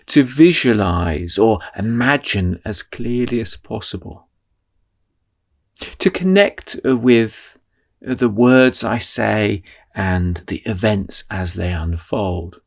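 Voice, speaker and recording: Male meditation guide: unhurried, calming delivery with a melodic, rising-and-falling voice. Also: fairly low pitch, vocal fry. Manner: slow, soothing, drawn-out phrasing.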